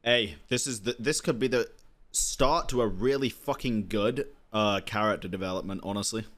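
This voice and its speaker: A man's voice: fairly low pitch, moderate pace, a bit bright. A man streaming a game, giving casual, slangy, sweary reactions as he thinks aloud. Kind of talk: casual reaction commentary over gameplay. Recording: game music faintly behind.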